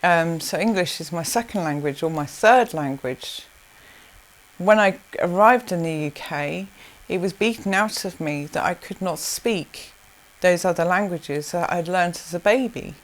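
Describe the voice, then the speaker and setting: A woman from South Africa, answering interview questions in a rambling way with many restarts and soft laughs. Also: lowish voice, measured pace. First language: Zulu.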